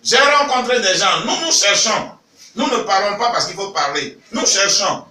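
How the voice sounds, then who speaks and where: A man's voice: high-pitched, very animated, normal speed, distant mic. A man from Ivory Coast speaking at a press conference, emphatic and raising his voice to stress points. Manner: emphatic, rising to shouts when stressing points. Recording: echoey room, voice from off-mic.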